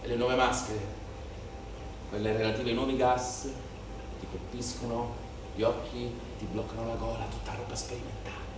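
A man's voice: medium pitch, level tone, normal speed, lightly acted. An actor playing a troubled policeman in an intense theatrical monologue with repetitive, rhetorical phrasing. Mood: troubled, subdued. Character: troubled young policeman confessing his part in riot violence. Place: theatre stage or performance space. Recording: very echoey room, distant mic, some noise.

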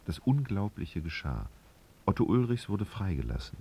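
A man narrating a literary radio drama in a husky voice, with dramatic, sardonic storytelling. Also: low voice, big pitch swings, normal speed, dark tone, full character voice.

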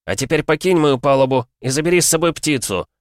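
A man voicing a loyal, defiant young male ship officer in an audiobook, with emphatic, strained shouts. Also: fairly high pitch, lively intonation, fast talker, no room sound, studio quality, pitched above the performer's own voice, natural voice. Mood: stubborn, emotional. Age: young adult. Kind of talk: dramatic audiobook character voice.

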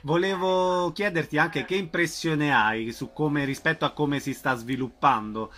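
A man's voice: a little high, fairly even intonation, a little slow, sharp tone. A man acting as interviewer in a casual promotional chat, giving short prompts and agreeing. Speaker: radio interviewer.

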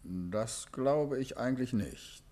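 An actor in a 1950s German radio play as a courteous English gentleman detective, calmly asking polite, measured questions. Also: medium pitch.

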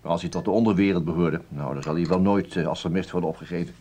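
A man's voice: low voice, quick pace, dark tone, breathy edge. An actor playing a chatty police detective in a radio play, politely bashful and hesitant while he pries, repeating himself. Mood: apologetic, probing. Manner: hesitant, repetitive, disarmingly polite. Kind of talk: radio drama acting.

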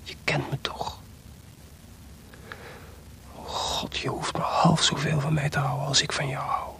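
A man's voice: fairly high pitch, monotone, unhurried, bright, forceful tone, slightly rough, whispered, exaggerated voice. A man playing a composer in a radio play, voicing weary, tender and bitter letters in an intimate, reflective way. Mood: hurt, tender. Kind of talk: radio play monologue, letters read aloud.

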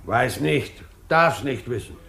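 An actor in a radio play as an officious, slow-witted gatekeeper who talks in halting, repetitive phrases. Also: male voice, mid-range voice, creaky, pushed and bright, full character voice. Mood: stubborn, officious. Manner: halting, repetitive, literal-minded servant talk. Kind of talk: German radio play acting.

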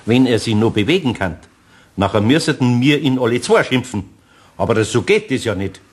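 Older Bavarian craftsman, husky, gruff yet gentle, radio play. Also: medium pitch, sing-song. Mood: gentle, teasing. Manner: grumbling, slow, good-natured. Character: kindly old master carpenter, gruff but gentle.

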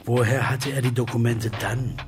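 A man plays a weary private investigator in a radio thriller. He is dry, guarded and stubborn, with a medium-pitched voice over loud music. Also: steady tone, normal speed, lightly acted. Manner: terse, dry. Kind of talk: radio drama acting. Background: prominent music.

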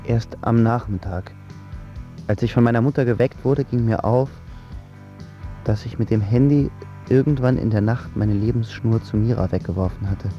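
A man's voice: medium pitch, normal speed, dull audio, faint music behind, lightly acted. An actor playing a heartbroken, sulky young man who tells his story in a slangy, wry, self-pitying way for a radio drama. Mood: sullen, brooding. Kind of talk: radio drama narration, colloquial and confessional.

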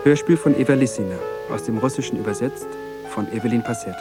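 A man's voice: medium pitch, fast. A man reading radio drama credits as a formal, scripted announcement. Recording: music behind the voice.

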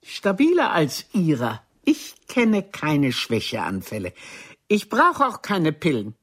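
A proud aging theatre diva in a radio play, speaking slowly and deliberately in a husky voice. Her pitch sweeps widely as she delivers each haughty, cutting phrase. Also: wildly swooping pitch, full character voice. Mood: haughty, boastful. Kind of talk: German radio drama acting.

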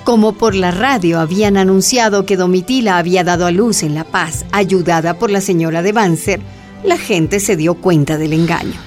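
A woman's voice: fairly low pitch, moderate pace, darkish tone, faint music behind, lightly acted. A woman narrating a scripted radio drama as an earnest storyteller.

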